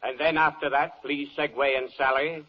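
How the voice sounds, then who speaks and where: An actor playing a brisk, impatient court clerk, wry and officious, in 1940s comic radio style. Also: male voice, mid-range voice, steady tone, big-room sound, natural voice. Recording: muffled, hissy old radio recording.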